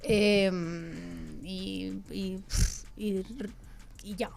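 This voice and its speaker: A woman's voice: medium pitch, drawn-out words, creaky, faint music behind. A young woman with a Madrid accent, speaking as a guest in a casual discussion, emphatic and full of restarts. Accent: Madrid Spanish. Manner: lively, emphatic, with frequent restarts.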